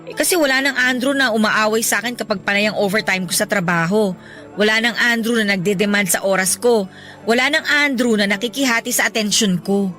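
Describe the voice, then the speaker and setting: A woman's voice: medium pitch, a little fast, sharp tone, soft background music, natural voice. A woman with a Filipino accent playing a stressed young breadwinner who confides her heartbreak plaintively in a Tagalog radio drama. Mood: wistful, burdened.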